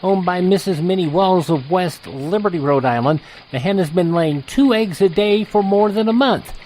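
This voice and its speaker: A presenter reads scripted news in a radio announcing style. The voice sits at a medium pitch, with a faint noise behind it. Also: normal speed, darkish tone, rough recording.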